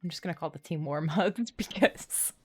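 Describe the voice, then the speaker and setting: A woman's voice: lowish voice, moderate pace, darkish tone, husky. A woman livestreaming a game, thinking aloud in a casual, playful way. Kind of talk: casual gameplay commentary.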